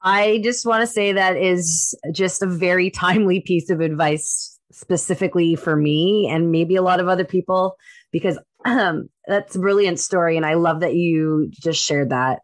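A woman's voice: on the low side, normal speed. A woman co-hosting a podcast, talking candidly in long run-on sentences. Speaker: co-host. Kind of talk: casual podcast talk.

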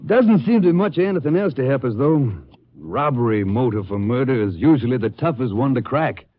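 An actor playing an easygoing, doubtful American police chief in a 1950s radio detective drama, hesitant and folksy in speech. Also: male voice, medium pitch, animated, brisk, dry recording, close to an everyday voice. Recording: tinny, phone-like old radio recording.

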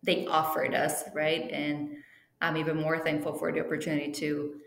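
A woman whose first language is Portuguese chats as an interview guest, slipping in fillers and restarting her sentences. Her voice sits a little low and rings in a noticeably echoey room. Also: monotone, moderate pace, fairly bright tone. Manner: chatty, with frequent fillers and restarts. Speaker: interview guest, team travel planner. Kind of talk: casual interview chat.

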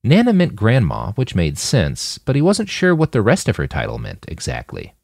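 A man's voice: lowish voice, wildly swooping pitch, normal speed, gravelly, a little mellow, breathy edge, no echo, clean studio sound. A man reading scripted fiction as an audiobook narrator for a podcast.

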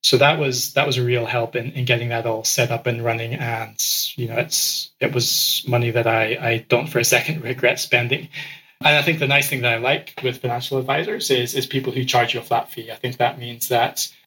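A man who grew up in Ecuador, a podcast guest telling his story in a relaxed conversational way, often repeating words as he restarts sentences. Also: mid-range voice, a little fast, poor audio. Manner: frequent word repeats and restarts.

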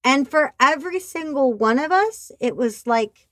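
A woman with a nasal voice talking casually on a podcast, expressive and animated as she tells stories. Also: high-pitched, normal speed, no room sound. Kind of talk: casual chat. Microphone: remote call.

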